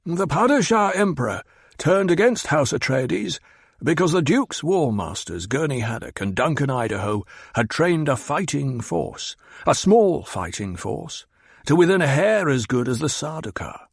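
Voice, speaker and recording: A man's voice: fairly high pitch, very animated, a little slow, creaky, slightly rough, no echo, clean studio sound, voice pushed up, heavily acted. A man doing a scornful old mentat. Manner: clipped, cutting, sardonic. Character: cold, scornful old male mentat advisor.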